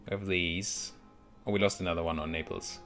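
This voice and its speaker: A man's voice: sits low, steady tone, a little fast, fairly bright tone, faint music behind. A man giving casual let's play commentary on a strategy game, thinking aloud and muttering to himself as he goes. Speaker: video game streamer playing a strategy game.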